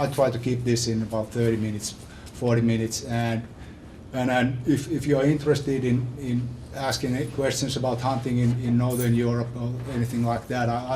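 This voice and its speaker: A man with a strong Finnish accent giving an informal academic lecture, haltingly, often repeating words and phrases. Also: medium pitch, darkish tone, slight background noise. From Finland. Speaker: visiting scholar giving a lecture.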